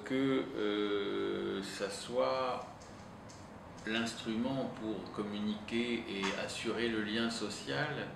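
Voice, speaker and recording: Slow, hesitant man in an echoey room. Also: lowish voice, vocal fry. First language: Italian. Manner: hesitant, thinking aloud with frequent pauses. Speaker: psychoanalyst interviewee. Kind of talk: reflective interview answer. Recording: roomy echo, distant mic, noisy.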